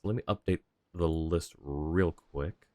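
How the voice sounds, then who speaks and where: A man's voice: extremely low pitch, a little slow, dry recording. A man doing casual livestream commentary on a video game, rambling and deliberating aloud as he goes. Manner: rambling, deliberating, self-correcting. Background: soft game music.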